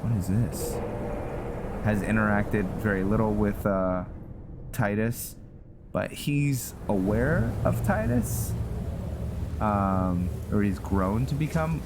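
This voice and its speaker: A man giving unscripted game commentary, thinking aloud in a halting, musing way. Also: lowish voice, lively intonation, noisy background. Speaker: roleplay gamer, series host.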